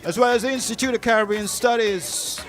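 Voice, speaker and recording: A man's voice: extremely high pitch, fairly even intonation, a little fast, fairly bright tone, faint music behind. A man hosting a live event and introducing speakers at a symposium.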